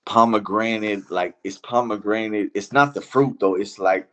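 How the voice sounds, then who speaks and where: Deep-voiced Iranian-born man, halting and slangy. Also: fairly even intonation, a bit bright, lo-fi sound. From Iran. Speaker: interview guest. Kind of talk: casual chat.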